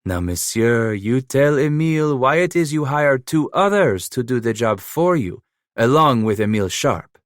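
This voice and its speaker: A hulking, scarred frontier killer, as a male narrator puts him on, mangling his English with a mocking, menacing edge. His voice sits higher and louder than the narrator's own, and the sound is close and dry. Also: lively intonation, normal speed, no echo, natural voice. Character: giant scarred French-Canadian frontier killer, cunning, playful.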